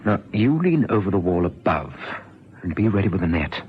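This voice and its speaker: An actor playing a kindly, persuasive police officer in an old radio drama, earnest and coaxing, sometimes stammering. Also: male voice, low voice, sing-song, brisk. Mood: brisk, conspiratorial. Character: kindly, persuasive male police officer talking someone round. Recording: dull, noisy old radio recording.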